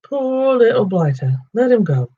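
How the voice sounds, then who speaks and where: A man voicing a big, dim, drunk male troll who talks rough and slangy. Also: extremely high pitch, big pitch swings, mellow, highs cut off, voice pushed up, heavily acted. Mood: grumbling. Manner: rough slangy talk, slow and blustering.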